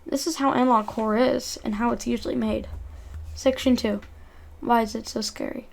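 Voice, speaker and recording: Young woman, high-pitched, conversational video essay narration, earnest, slightly stumbling delivery. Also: fairly even intonation, normal speed, creaky, darkish tone.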